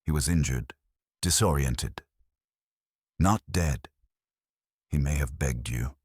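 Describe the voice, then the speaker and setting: A man's voice: extremely low pitch, takes it slowly, darkish tone, husky, no room sound, studio quality, full character voice. A man voicing a commanding, coldly logical detective in an audiobook, formal and incisive as he confronts suspects. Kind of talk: audiobook detective story dialogue.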